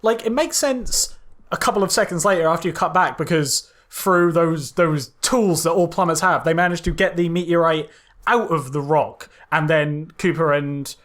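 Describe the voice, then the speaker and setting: A man co-hosting a podcast, retelling a film casually in a loose, halting way with mild exclamations. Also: fairly high pitch, fairly bright tone. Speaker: podcast co-host. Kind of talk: casual film critique chat.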